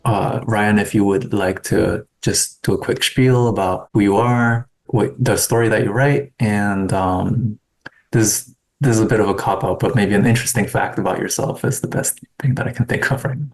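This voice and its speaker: A man hosting a relaxed writers' panel, with a somewhat low, gravelly voice. He talks in a friendly, halting way, full of fillers and short laughs. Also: poor audio. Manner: friendly, hesitant, laughs often. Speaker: panel moderator. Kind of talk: casual online panel chat.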